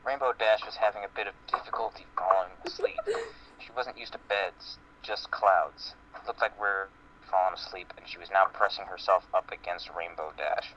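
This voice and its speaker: A man's voice: deep voice, moderate pace, dull audio. A man reading fan fiction aloud as part of an amateur group dramatic reading. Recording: faintly noisy home voice-chat recording.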